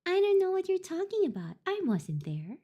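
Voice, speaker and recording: A woman voicing a sweet, quiet teenage schoolgirl who hides a dark secret, in a visual novel. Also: very high-pitched, huge pitch swings, moderate pace, muffled recording, voice pushed up, heavily acted.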